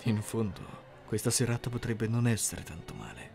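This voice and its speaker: A man playing an earnest, socially awkward high-school boy in an anime-style roleplay, deadpan, literal and hesitant. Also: normal speed, creaky. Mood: pensive, awkward. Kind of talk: scripted anime fan-dub roleplay. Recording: music behind the voice.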